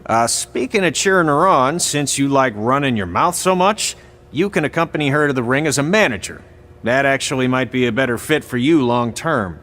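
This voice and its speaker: An actor playing a sarcastic, blunt veteran wrestling trainer in video game dialogue. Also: male voice, mid-range voice, animated, normal speed, fairly bright tone, lightly acted. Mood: mocking.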